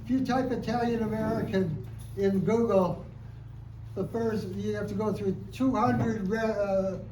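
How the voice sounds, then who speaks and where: A man's voice: high voice, steady tone, a little slow, mellow. A man leading a workshop who keeps his prompts short and encouraging. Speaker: workshop leader. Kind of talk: moderating introductions. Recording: distant mic in an echoey room, noise.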